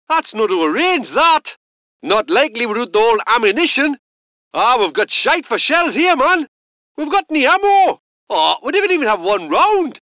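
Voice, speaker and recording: A man's voice: very high-pitched, bright, forceful tone, dry recording, heavily acted. A salty gun crewman. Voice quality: gruff, salty, colloquial. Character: gruff northern British male gun crewman. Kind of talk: video game unit voice lines. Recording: dry close studio recording.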